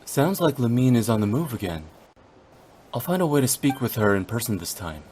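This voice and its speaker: Man as young male traveler hero, mid pitch, lively range, brooding, determined, video game, faint noise. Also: fairly quick, lightly acted. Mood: grave, determined.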